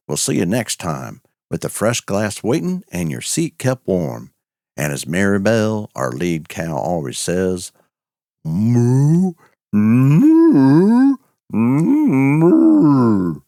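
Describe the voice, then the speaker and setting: A man's voice: low-pitched, vocal fry, no room sound, clean studio sound. A man hosting and narrating a cowboy audio show in a folksy, homespun way.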